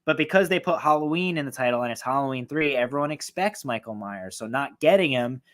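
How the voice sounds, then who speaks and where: Male horror reviewer, hoarse voice, excitable, swears freely, rambling casual talk, mid pitch. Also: brisk. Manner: excited, profane, rambling with fillers. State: losing his voice, hoarse. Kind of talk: casual film ranking talk.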